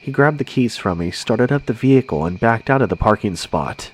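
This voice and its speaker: A man's voice: medium pitch, animated, brisk. A man reading a first-person scary story in a conversational narration style.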